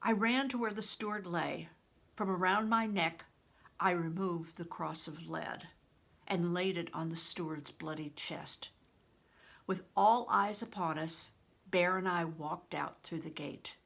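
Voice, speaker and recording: An older woman reading a children's novel aloud as a storyteller, in expressive, deliberate audiobook narration. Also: on the low side, vocal fry.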